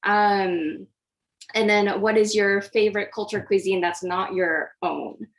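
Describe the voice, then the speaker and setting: A woman's voice: medium pitch, steady tone, takes it slowly. A woman from Japan, an oncology dietitian, giving a friendly, interactive online presentation over a video call, with many fillers and restarts. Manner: friendly, hesitant with frequent fillers.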